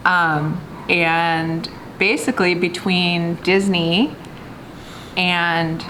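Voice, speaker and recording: Low-voiced woman chatting over clear noise. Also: level tone, extremely slow, pushed and bright. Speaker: vlog co-host. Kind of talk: casual conversational storytelling. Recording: clearly noisy background.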